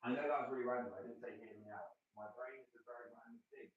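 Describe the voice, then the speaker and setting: A man's voice: mid-range voice, fairly even intonation, very fast, distant mic, dull audio, a little hiss or hum. A British man chatting casually on a livestream, rambling and cutting himself off mid-sentence. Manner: rambling, self-interrupting, swears freely. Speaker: livestreamer reading chat. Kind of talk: casual stream chat while working.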